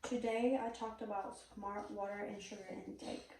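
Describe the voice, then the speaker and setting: A woman's voice: medium pitch, steady tone, normal speed, darkish tone, slight background noise. Puerto Rican woman, echoey distant speech. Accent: Puerto Rican Spanish. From Puerto Rico. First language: Spanish. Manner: earnest, direct, occasional "um" fillers. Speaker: speaker giving a persuasive speech. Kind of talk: prepared persuasive speech. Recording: very echoey room, mic far off.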